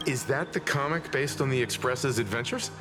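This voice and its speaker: An actor voicing a reserved, level-headed young man in a video game, speaking calmly. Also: mid-range voice, normal speed, natural voice. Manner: calm, reserved. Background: game soundtrack music.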